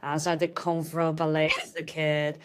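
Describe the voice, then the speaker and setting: A woman's voice: low-pitched, completely flat delivery, moderate pace, smooth. A young woman from Hong Kong, a guest in a casual interview, talking thoughtfully with frequent fillers and restarts.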